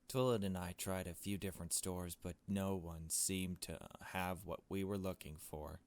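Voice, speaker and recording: Give me a deep-voiced man playing through a visual novel, reading it out conversationally with wry little asides. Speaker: gaming video host. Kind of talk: casual playthrough commentary mixed with reading visual novel text aloud.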